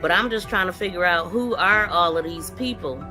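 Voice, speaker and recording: A woman's voice: fairly low pitch, normal speed, over music. A woman hosting a live true-crime commentary stream, talking informally and directly to her viewers in a casual, conversational way. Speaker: livestream podcast host.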